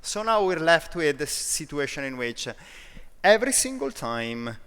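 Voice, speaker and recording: A man from Italy with an Italian accent, giving a conference talk in a self-deprecating, lively way with frequent restarts. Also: on the high side, unhurried, clear, resonant tone, long reverb tail. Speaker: conference speaker. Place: large conference hall.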